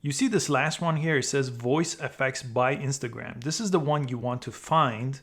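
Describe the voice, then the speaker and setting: A man's voice: mid-range voice, normal speed, fairly bright tone. Man narrating a how-to tutorial. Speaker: tutorial host.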